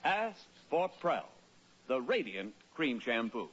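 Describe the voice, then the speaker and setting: A man's voice: medium pitch, sing-song, slow, very noisy. An American man announcing a 1940s radio advertisement in a polished, emphatic sales delivery. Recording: muffled old radio broadcast.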